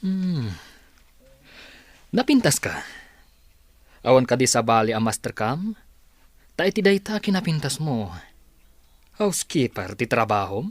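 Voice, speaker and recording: A man's voice: mid-range voice, very animated, measured pace, long pauses, dry recording. A wealthy, demanding young boss for a radio play. His mid-range voice swings up and down with lots of animation. The sound is dry and close. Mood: teasing, bossy. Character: rich young male boss, brash, demanding. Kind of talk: Ilocano radio comedy-drama acting.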